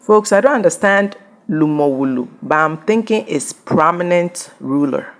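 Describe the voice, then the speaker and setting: A woman's voice: sits low, expressive, normal speed. A woman hosting her own channel, carefully translating an interview into English; Ga is not her first language.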